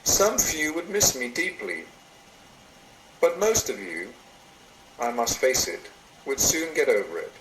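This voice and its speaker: A man's voice: medium pitch, very animated, fairly bright tone. Solemn man reading in a roomy, noisy recording. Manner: measured, solemn, deliberate phrasing. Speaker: reader of a meditation written by another. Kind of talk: read-aloud meditation, sermon-like address. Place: indoor room, recorded into a computer.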